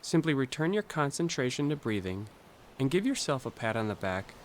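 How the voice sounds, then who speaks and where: A man narrating a scripted guided meditation in a calm, gentle, instructional way, leaving pauses between phrases. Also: mid-range voice, a little hiss or hum.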